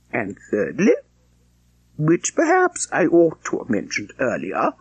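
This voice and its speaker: A man's voice: a little high, breathy edge, no room sound, heavily acted. A man voicing a pompous, self-satisfied clergyman suitor in an audiobook, speaking with ponderous, obsequious formality. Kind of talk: audiobook character acting.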